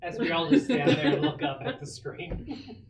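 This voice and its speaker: A woman's voice: lowish voice, very animated, normal speed, over a noisy crowd. A woman bantering and roleplaying at a tabletop game, with a sarcastic, haughty way of talking. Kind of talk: casual banter, in-character roleplay.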